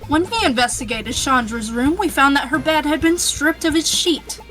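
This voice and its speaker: A woman's voice: sits high, moderate pace, a bit bright. A woman voicing a confident, assertive detective arguing a case in a game, reading the dialogue dramatically. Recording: music behind the voice.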